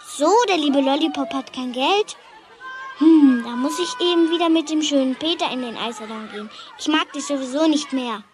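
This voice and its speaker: Woman as vain schoolgirl, high voice, manipulative, children's radio play, faint background noise. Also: normal speed, natural voice. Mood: haughty.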